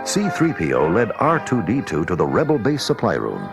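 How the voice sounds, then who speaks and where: A man's voice: sits low, wildly swooping pitch, normal speed, gravelly, over loud music. A man narrating a scripted children's read-along story.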